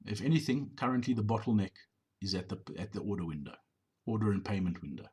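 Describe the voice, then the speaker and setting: A middle-aged business owner giving a measured, explanatory voice-over on drive-thru footage, sometimes repeating words. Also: male voice, fairly low pitch, animated, warm tone, husky.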